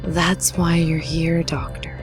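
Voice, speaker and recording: Woman doing a stern military general lady, lowish voice, measured and in charge, audio drama w/ music under it. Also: little pitch movement. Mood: stern, businesslike. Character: stern female army general at a secret base. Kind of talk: amateur audio drama acting.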